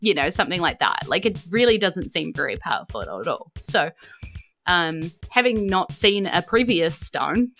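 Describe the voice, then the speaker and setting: A woman's voice: fairly low pitch, big pitch swings, normal speed, a bit bright, soft background music. A woman with a New Zealand accent narrating a tabletop game as game master, chatty and informal.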